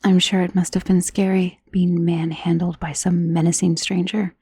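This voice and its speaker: A woman playing a powerful, self-assured female vampire, protective and possessive of a lover, in an intimate ASMR romance roleplay that often drops toward a breathy whisper. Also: fairly low pitch, level tone, moderate pace, warm tone. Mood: tender, soothing.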